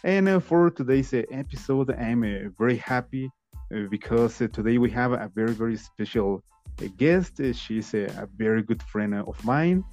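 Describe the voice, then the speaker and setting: A man hosting a podcast interview, speaking haltingly with many "uh" fillers. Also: medium pitch, darkish tone, soft background music. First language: Spanish. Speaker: podcast host. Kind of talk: casual interview chat.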